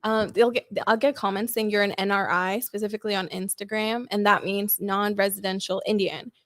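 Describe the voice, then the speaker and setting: Young female creator guest, lively casual storyteller, mid-range voice, dry sound. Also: mid-range voice, a little fast, dry recording. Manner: chatty, animated storytelling with "like" fillers. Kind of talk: casual podcast interview.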